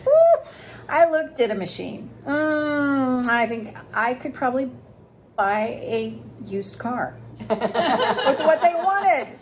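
A woman in her fifties filming a casual vlog on a phone at arm's length, gushing with excitement as she walks through an expo hall and chats in a hotel room. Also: sits high, slow pace, pauses often, slight background noise. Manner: enthusiastic, gushing exclamations. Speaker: sewing vlogger.

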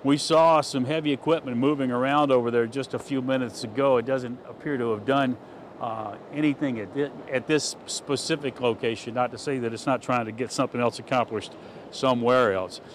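A man's voice: medium pitch, fairly even intonation, brisk. An older man doing a rambling, conversational live news report from a flooded street at night, speaking into a handheld mic. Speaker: field reporter. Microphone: handheld station mic. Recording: faint street noise outdoors.

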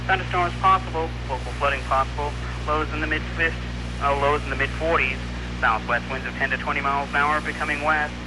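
A man announcer on weather radio, reading scripted forecasts and warnings in a formal, measured way. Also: medium pitch. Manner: formal, measured bulletin delivery. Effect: radio broadcast. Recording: noisy 1990s weather radio broadcast with hum.

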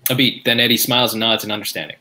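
A man's voice: on the low side, steady tone, very fast, sharp tone. A man reading scripted stage directions as narrator at a table read.

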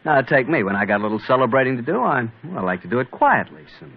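A man's voice: mid-range voice, big pitch swings, quick pace. Folksy old-time radio announcer man. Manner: smooth, folksy, salesman-like. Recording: muffled 1940s radio broadcast.

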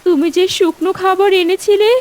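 A woman's voice: very high voice, fairly even intonation, brisk, a little mellow. A woman voicing an anxious village wife and mother in a cartoon fairy tale. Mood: dismayed.

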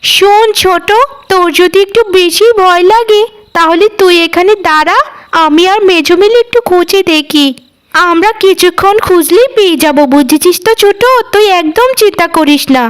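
A woman voicing a scheming eldest sister in a cartoon, a falsely sweet young woman who coaxes with drawn-out phrases. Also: extremely high pitch, voice pushed up. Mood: coaxing, falsely sweet.

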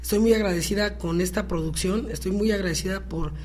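A Mexican woman with a husky voice, a community leader talking deliberately as an interview guest and repeating words for emphasis. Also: medium pitch, darkish tone. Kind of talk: conversational interview talk.

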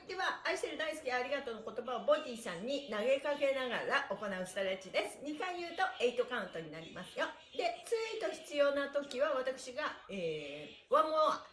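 A middle-aged woman with a notably high-pitched voice hosts a lively stretching class. She is cheerful and animated, calling out exercise cues with plenty of energy. The sound is echoey, picked up in a small bare room. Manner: cheerful, animated, often laughing while talking. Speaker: stretching instructor and livestream host. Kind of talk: upbeat exercise class with counted chants. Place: small bare indoor room, seated on a mat.

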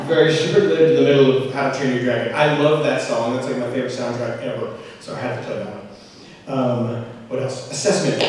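A young male orchestra teacher giving rambling, self-deprecating remarks on stage, halting with fillers. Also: mid-range voice, darkish tone, slight background noise. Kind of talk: casual remarks to an audience. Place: large school auditorium stage. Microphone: handheld mic, far camera. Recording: echoey hall from far off.

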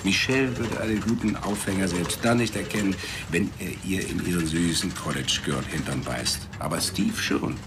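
A man's voice: sits low, normal speed, noisy background, close to an everyday voice. An actor playing a brash, cynical male newspaper boss with a blunt, crude manner in a German radio play.

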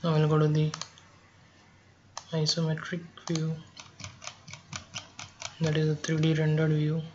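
A man narrating a step-by-step software tutorial in short, halting phrases, as an instructor. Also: on the high side, completely flat delivery. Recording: dull lo-fi mic, keyboard clicks, noise.

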